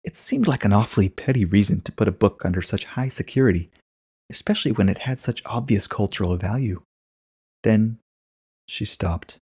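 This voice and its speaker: A man's voice: on the low side, expressive, moderate pace, a little mellow, breathy edge. A man narrating a short story as a scripted dramatic reading.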